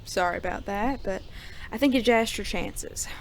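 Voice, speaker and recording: A woman playing a weary, gruff young male sheriff in a Western audio drama, with a folksy, sardonic drawl. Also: mid-range voice, normal speed, slight background noise, lightly acted. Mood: wry, sardonic. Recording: loud guitar music behind.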